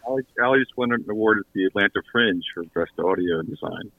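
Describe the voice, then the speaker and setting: A man's voice: fairly low pitch, fast, narrow, tinny audio, a crowd in the background. A man chatting as a guest in a casual radio interview, talking about his own productions. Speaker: podcast creator and guest.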